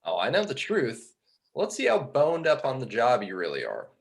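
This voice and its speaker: A man playing a skeptical, bookish fallen angel in a film script table read, articulate and measured. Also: medium pitch, huge pitch swings, vocal fry.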